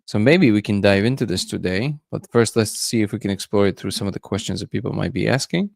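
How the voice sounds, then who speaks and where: A man's voice: on the low side, fast, gravelly, no echo, clean studio sound. A man hosting a casual livestream, musing loosely and greeting viewers by name. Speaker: livestream host.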